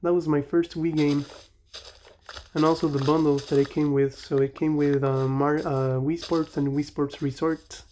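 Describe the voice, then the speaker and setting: Young man with a Mexican accent, mellow. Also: a little high, little pitch movement, moderate pace, a little hiss or hum. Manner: rambling, nostalgic, self-correcting. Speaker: gaming channel host. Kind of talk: casual commentary while playing.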